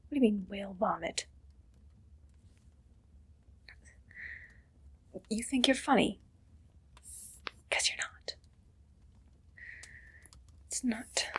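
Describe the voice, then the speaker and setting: A woman playing a haughty, condescending evil queen in a whispered ASMR roleplay, slow and disdainful, with a breathy voice. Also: medium pitch, heavily acted. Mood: offended, then haughty, cool.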